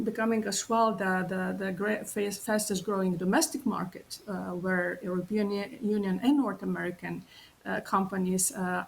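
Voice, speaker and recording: A woman military officer giving a formal panel answer in a halting, hesitant way with many pauses. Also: medium pitch, gravelly, a little hiss or hum, low-quality recording.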